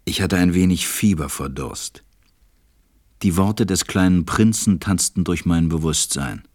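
A man playing a grave, weary stranded pilot who narrates a German radio play in a measured, husky, deep voice. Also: darkish tone. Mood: grave, reflective.

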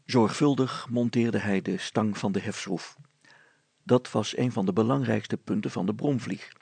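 A man narrating a children's story as an expressive storyteller. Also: fairly low pitch, creaky, breathy edge, no room sound.

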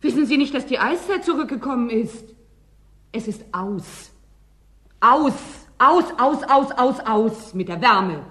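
A woman's voice: on the high side, expressive, a little slow, fairly bright tone. Blunt, insistent woman newcomer. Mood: exasperated, despairing. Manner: direct, questioning, impatient. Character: blunt, insistent female newcomer to an icebound settlement. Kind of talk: German radio play acting.

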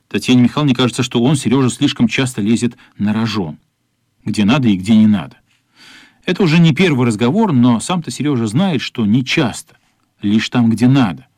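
A man's voice: mid-range voice, darkish tone, no echo, studio quality. A man narrating a radio play as a scripted story.

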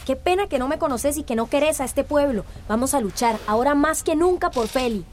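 A woman's voice: high voice, animated, brisk, slight background noise. A woman playing a defiant, emotional young village daughter with a Central American accent in a radio drama. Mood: defiant, accusing. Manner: earnest, emotional.

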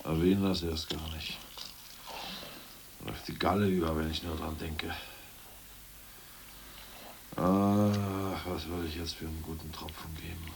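An actor playing a hungry, scheming, irritable ex-official in a prison cell in a German radio play, with a volatile delivery. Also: male voice, rumbling low, flat delivery, a little slow, pauses often, a little hiss or hum. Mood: glum. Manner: volatile, swinging from mutters to outbursts.

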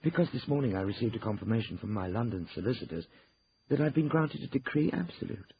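A man's voice: fairly low pitch, animated, quick pace, vocal fry, muffled recording, a little hiss or hum, voice pushed up. A man voicing a firm, indignant woman defending herself in an audiobook, insistent and emphatic. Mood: firm, defensive. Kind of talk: acted audiobook dialogue.

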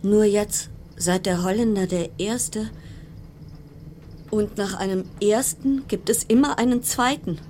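A woman's voice: medium pitch, measured pace, dark tone, a little hiss or hum, lightly acted. A woman acting in a radio play as an anxious, sardonic quarantined passenger, delivering a brooding, dramatic interior monologue.

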